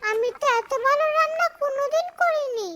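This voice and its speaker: A woman's voice: very high-pitched, brisk. A woman playing a cheerful, trusting young housewife in a cartoon, speaking in a gushing way over music. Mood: amazed. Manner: gushing, optimistic. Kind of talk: cartoon dialogue acting.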